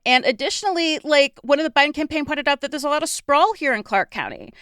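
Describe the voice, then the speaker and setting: An American woman in her thirties co-hosting a podcast, explaining the news in a casual, animated way with informal asides. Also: sits high, fast talker, dry recording, clean studio sound. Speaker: podcast co-host.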